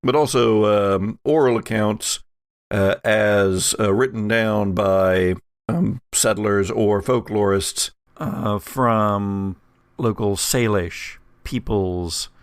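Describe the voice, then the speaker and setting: A man narrating a tabletop roleplaying game as game master, speaking in a halting, hesitant way. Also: lowish voice, clear, resonant tone, no echo, clean studio sound.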